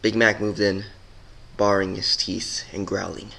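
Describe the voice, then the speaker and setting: Male narrator, forceful bright tone, slightly low pitch, dramatic scripted storytelling. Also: flat delivery, a little slow, creaky.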